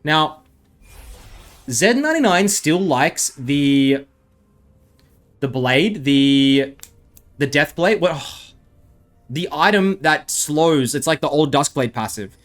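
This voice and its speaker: A man's voice: a little high, animated, slow, long pauses. An Australian man giving rambling, self-mocking commentary over gameplay, full of chatty asides. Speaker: gaming video creator.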